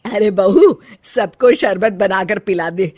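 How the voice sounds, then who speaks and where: A woman voicing a demanding middle-aged mother-in-law in a cartoon story, talking loudly and giving orders. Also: medium pitch, takes it slowly. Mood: bossy. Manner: loud, commanding, fussy.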